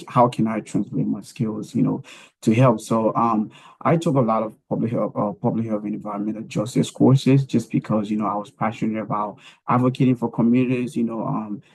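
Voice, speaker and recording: A man from Liberia, speaking as a panelist about his career path in a halting way, with many fillers and restarts. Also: on the low side, creaky, a little mellow, husky, poor audio.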